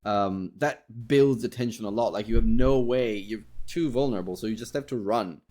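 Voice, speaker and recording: A man hosting a casual interview podcast, speaking in a halting, rambling way and often repeating his words. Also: fairly low pitch, warm tone.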